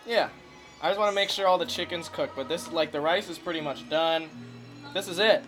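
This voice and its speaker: A young man with a voice pitched a bit high for a guy, chatting in an easygoing, slang-heavy way and swearing now and then as he cooks. Music plays clearly in the background. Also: brisk, a bit bright. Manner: laid-back, slangy, swears freely. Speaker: co-host cooking on the show. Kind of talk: casual chat while cooking. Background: music playing.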